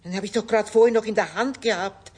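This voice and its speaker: A woman's voice: mid-range voice, fast talker, a little mellow, breathy edge, lightly acted. A woman playing a down-to-earth, scolding household cook in a children's radio play. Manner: blunt, matter-of-fact, scolding.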